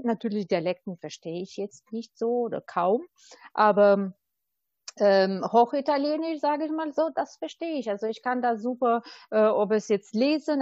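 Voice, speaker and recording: A woman's voice: a little high, no echo, highs cut off. A woman in her thirties from Albania with an Albanian accent, speaking as an interview guest, often hesitating with fillers and restarts. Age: 30s. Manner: hesitant, many ums and restarts. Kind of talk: conversational interview.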